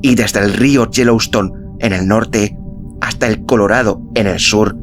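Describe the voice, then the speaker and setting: A man reading a literary story as an audiobook narrator, in a measured, solemn, dramatic style with deliberate pauses. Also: lowish voice, fairly even intonation. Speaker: audiobook narrator and podcast host. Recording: music behind the voice. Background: background music.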